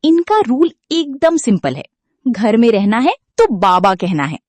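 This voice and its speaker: A cheeky modern daughter-in-law acted by a woman tells a family cartoon to viewers. Her voice is high and very lively, with unhurried pacing and a dry, close sound. She is playful and theatrical. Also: no room sound. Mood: playful, teasing. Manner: playful, dramatic. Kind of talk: scripted storytelling addressed to viewers.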